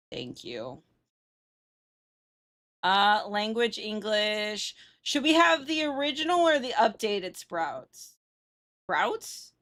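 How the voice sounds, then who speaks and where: A woman's voice: mid-range voice, fairly bright tone. A woman livestreamer chatting casually while gaming, informal and sweary.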